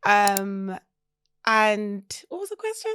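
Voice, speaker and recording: A woman, a guest co-founder, affirming often and speaking warmly. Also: mid-range voice, big pitch swings, takes it slowly, slight background noise. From London. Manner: warm, affirming, many "mm"s. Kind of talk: podcast interview.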